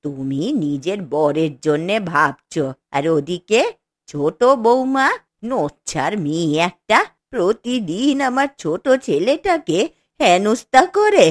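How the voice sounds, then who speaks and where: A woman's voice: lowish voice, very animated, unhurried, no echo. A woman voicing an elderly, grumbling, scolding mother-in-law who dotes on her son in a cartoon. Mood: bitter, scolding. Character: elderly mother-in-law, doting on son, bitter about younger daughter-in-law. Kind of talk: scripted cartoon dialogue.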